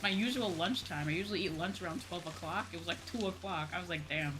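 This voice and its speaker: A woman's voice: lowish voice, quick pace, background noise. A young woman chatting casually and playfully with viewers on a livestream as a game streamer. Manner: animated, playful, conversational. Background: music.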